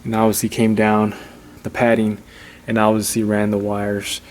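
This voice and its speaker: A man giving a casual, unscripted how-to in an informal, halting, repetitive way, like a hobbyist vlogger. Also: on the low side, completely flat delivery. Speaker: vlogger showing a DIY car install. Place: in and around a Jeep.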